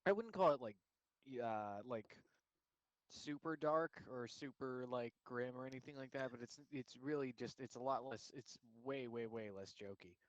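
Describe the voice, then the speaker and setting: A man's voice: medium pitch, normal speed, no echo, low-quality recording. Wry man, dry close sound. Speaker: co-host of a game playthrough. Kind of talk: casual game commentary.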